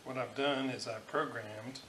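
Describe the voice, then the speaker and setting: A man giving an informal hands-on technical demonstration as an electronics hobbyist, halting and thinking aloud, recorded in a room at home on a camera's built-in microphone. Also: mid-range voice, fairly even intonation. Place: room in a house. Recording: roomy echo, faint background noise.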